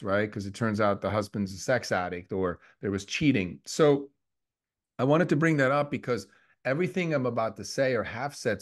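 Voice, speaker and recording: A man in his fifties from Switzerland, co-hosting a podcast in a reflective, halting way with many restarts. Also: lowish voice. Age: 50s. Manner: reflective, restarts often, lots of fillers. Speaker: podcast co-host. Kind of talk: casual conversational podcast.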